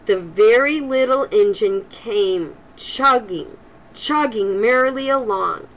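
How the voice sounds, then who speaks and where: An older woman, a grandmother, speaking to children in an expressive, homely storytelling style. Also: on the high side, slow pace, poor audio. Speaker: grandmother reading stories for children. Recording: home recording with faint background noise.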